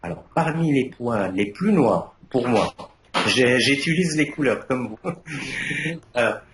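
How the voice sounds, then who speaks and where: An older man whose first language is Arabic gives careful academic feedback in a roomy, echoing space with faint noise behind him. He hesitates often, restarting his phrases and filling pauses. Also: medium pitch, animated. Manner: hesitant, many fillers and restarts. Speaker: thesis jury member. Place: university defense room. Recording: echoey room, faint background noise.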